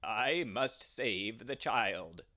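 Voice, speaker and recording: A man's voice: fairly low pitch, animated, bright, forceful tone, heavily acted. A man voicing a brave, determined male ram who speaks earnestly and urgently. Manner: earnest, urgent, slow and emphatic.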